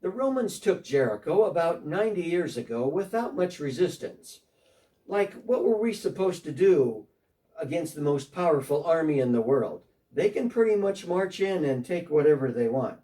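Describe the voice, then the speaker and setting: A man's voice: on the high side, lively intonation, unhurried, warm tone, distant mic. A man playing a defensive, talkative tax collector in a church dramatic reading, speaking one side of a phone call.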